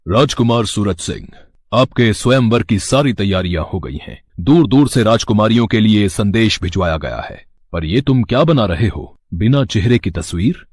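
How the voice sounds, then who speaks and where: A man's voice: fairly low pitch, animated, normal speed, darkish tone, no room sound. A man voicing a stern but concerned royal father in a fairy tale, admonishing in manner. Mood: skeptical.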